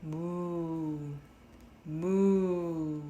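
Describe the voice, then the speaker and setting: A woman voicing farm animals from a storybook with loud, drawn-out moos, baas and brays. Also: sits low, steady tone, muffled recording. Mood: loud calling. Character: loud braying donkey and mooing, bleating farm animals. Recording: roomy echo, faint noise.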